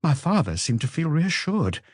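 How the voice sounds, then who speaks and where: A man voicing an anxious, guarded young woman in an audiobook, soft-spoken and hesitant as she answers police questions. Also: a little high, very animated, normal speed, slight background noise, pitched above the performer's own voice. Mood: earnest.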